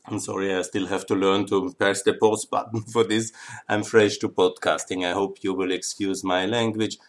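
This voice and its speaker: A man with a low voice and an Austrian accent, German being his first tongue. He talks in an earnest, pleading monologue and presses his points through repetition, the way a podcast host appeals to listeners. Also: level tone, unhurried, a bit bright. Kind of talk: impassioned political monologue.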